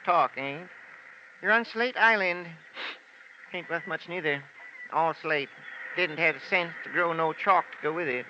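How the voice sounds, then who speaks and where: A man's voice: on the high side, unhurried, long pauses, sharp tone, highs cut off. An actor playing a grasping, cantankerous old island fisherman with a folksy, haggling way of talking, in vintage radio drama. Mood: crotchety, dry. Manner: folksy, hesitant haggling, crotchety. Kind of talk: 1940s-50s American radio drama character acting. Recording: noisy, band-limited old radio recording.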